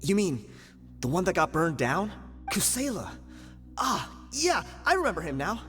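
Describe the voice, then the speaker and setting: High-pitched nervous henchman. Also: very animated, moderate pace, a bit bright. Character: nervous, evasive male henchman of a gang. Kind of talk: English video game voice acting. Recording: long reverb, music behind.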